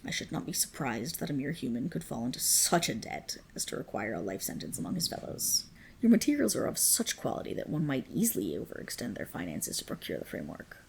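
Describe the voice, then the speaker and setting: A woman voicing a proud, possessive dragon queen in a dramatic ASMR roleplay, with regal, commanding, formal diction and a breathy, husky, creaky voice. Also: sits low, a little mellow, slight background noise, heavily acted, low-quality recording. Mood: sultry, appraising.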